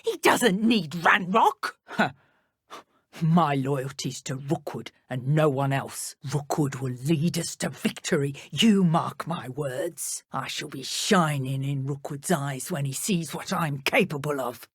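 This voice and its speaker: An actress playing a menacing, boastful dark witch henchwoman in a video game, with a British accent and a smug, taunting, theatrical delivery. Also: deep voice, takes it slowly, breathy edge, dry recording, clean studio sound, exaggerated voice. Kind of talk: video game combat barks and idle chatter.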